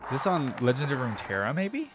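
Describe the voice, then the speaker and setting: A man's voice: medium pitch, wildly swooping pitch, fast. A young man giving casual reaction commentary as a video host, informal and easygoing, thinking aloud as he goes. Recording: dry, faint music behind.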